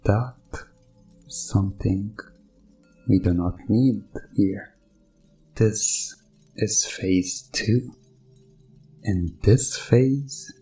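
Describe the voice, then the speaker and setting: A man playing a sinister, condescending evil doctor in a hypnosis ASMR roleplay, coaxing with mock reassurance and deliberate pauses. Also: on the low side, creaky, mellow, exaggerated voice.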